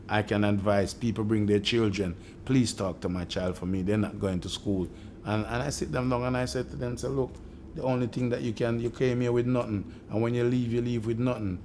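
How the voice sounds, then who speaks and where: Middle-aged Jamaican man, low and even delivery, earnest storyteller, faint hiss. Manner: earnest, reflective, with frequent ums and restarts. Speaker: community shop owner telling his life story. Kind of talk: personal storytelling interview.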